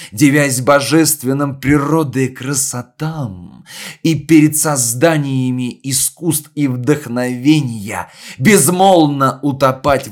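A man playing a solemn poet who delivers a memorial speech in a measured, declamatory way with deliberate pauses. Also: mid-range voice, natural voice. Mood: declamatory, elevated. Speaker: reader of a poet's speech in an audio play. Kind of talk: literary oration, declaimed reading.

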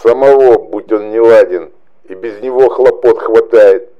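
A man's voice: fairly low pitch, a little slow, muffled recording. A man playing a blunt, earthy army colonel giving orders over the telephone in a radio play. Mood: gruff, ordering. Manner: blunt, profane, bossy. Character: gruff, earthy male NKVD colonel.

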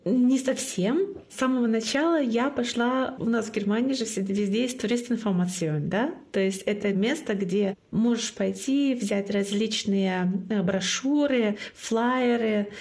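A woman's voice: on the high side, a little mellow. Earnest woman telling her story. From Northern Russia. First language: Komi. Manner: earnest, repeats key phrases for emphasis. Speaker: guest, club founder. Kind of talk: interview, personal story.

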